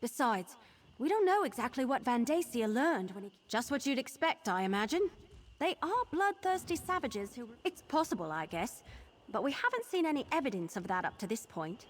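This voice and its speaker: An actress playing an eager, earnest young wood elf adventurer in a video game, talking in a brisk conversational way. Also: female voice, a little high, sing-song. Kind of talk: video game character acting.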